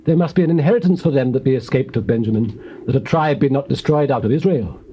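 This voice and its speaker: Actor as mellow, solemn elder of Israel. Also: male voice, medium pitch, fast, natural voice. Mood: solemn counsel. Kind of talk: dramatized scripture speech. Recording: muffled hissy old cassette tape.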